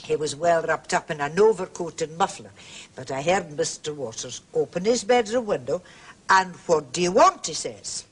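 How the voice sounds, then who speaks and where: A nosy prim landlady in a period mystery, husky, huffy, keeps insisting she wasn't at fault. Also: low-pitched, lively intonation, normal speed. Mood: confiding. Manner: emphatic, self-justifying, repetitive. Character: indignant, prim, gossipy landlady, defensive about prying. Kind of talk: period mystery drama dialogue.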